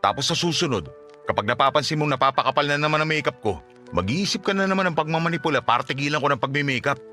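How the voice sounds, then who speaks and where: Fast, tense, aggrieved young boyfriend. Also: male voice, a little high, sharp tone, soft background music. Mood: hurt, irritated. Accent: Filipino. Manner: curt, accusing. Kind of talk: Filipino radio drama acting.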